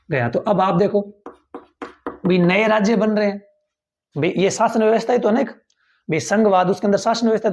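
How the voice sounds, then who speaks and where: Man lecturing slightly high, repeating points. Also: a little mellow. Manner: repeats key points, quizzes listeners with rhetorical questions. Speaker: teacher. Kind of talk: classroom lecture for tenth grade.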